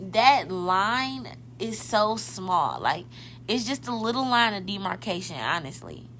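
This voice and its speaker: A woman's voice: medium pitch, pushed and bright, slight background noise. A woman in her twenties hosting a podcast, chatting casually and directly to her listeners, often correcting herself mid-thought. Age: 20s. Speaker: podcast host. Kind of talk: casual motivational podcast talk.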